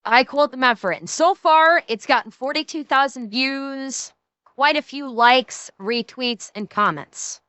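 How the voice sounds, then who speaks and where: Indignant bright-voiced woman streamer. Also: high voice, measured pace, clean tone, no echo. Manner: indignant, swearing, talks to chat. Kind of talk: live stream chat with viewers.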